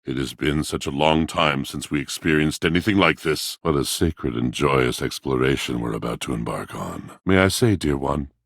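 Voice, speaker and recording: Super deep raspy washing machine from a video game, lovesick and theatrical, really dry close sound. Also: male voice, animated, normal speed, few pauses, fairly bright tone, no echo, exaggerated voice. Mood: joyful, reverent. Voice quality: very deep, gravelly bass. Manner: theatrical, florid, poetic delivery. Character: lovelorn, grandiose washing machine brought to life. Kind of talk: video game character acting.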